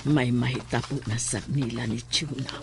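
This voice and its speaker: A woman playing a calm, curt, mysterious healer in a horror audio drama. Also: a bass voice, moderate pace, vocal fry, noisy background. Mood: calm, commanding.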